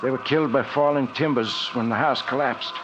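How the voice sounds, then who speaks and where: An actor playing a folksy, plain-spoken driver in an old radio drama. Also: male voice, medium pitch, fairly even intonation, brisk, highs cut off, close to an everyday voice. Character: plain-spoken male driver, everyday working man. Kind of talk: 1940s radio acting. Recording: hissy old radio recording.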